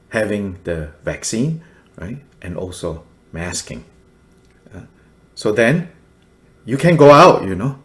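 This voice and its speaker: Slow, deep-voiced man teaching with many pauses. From Malaysia. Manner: slow, halting, many fillers, laughs softly. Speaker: Buddhist teacher. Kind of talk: dharma teaching commentary.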